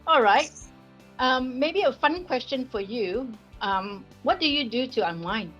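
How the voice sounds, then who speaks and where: A woman's voice: sits high, lively intonation, unhurried, a bit bright, muffled recording, soft background music. A woman from Singapore with a Singaporean accent, talking casually as a risk advisory partner in a workplace interview. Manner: friendly, conversational with fillers.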